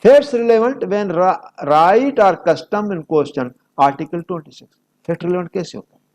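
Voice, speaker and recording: A man's voice: high voice, slow pace, a little mellow. A man with a Pakistani accent giving an explanatory lecture, posing questions and answering them himself in a didactic way. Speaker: law lecturer. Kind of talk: explanatory lecture mixing Urdu and English.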